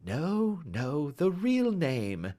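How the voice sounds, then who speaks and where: A man reading aloud and voicing a calm, clever Victorian detective who speaks blandly and courteously. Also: fairly high pitch, normal speed, pitched above the performer's own voice. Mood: assured.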